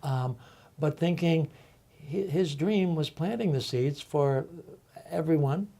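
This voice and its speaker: Older man panel guest, mellow tone, reflective and halting, lots of ums. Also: a little high, creaky. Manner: thoughtful, halting, many ums and uhs. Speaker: panel guest, college faculty member.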